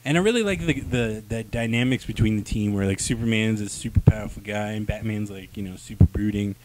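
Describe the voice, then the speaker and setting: A man's voice: on the low side, fairly quick, heavy background noise. A man hosting a casual comic review chat with friends, talking in slangy, profane banter and slipping into mock voices. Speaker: host of a comic book review show.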